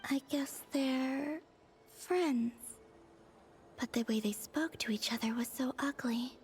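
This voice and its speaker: An actress playing a wistful, innocent, ethereal young maiden in a video game, breathy and hushed. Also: female voice, high voice, slow pace, soft background music. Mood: wondering.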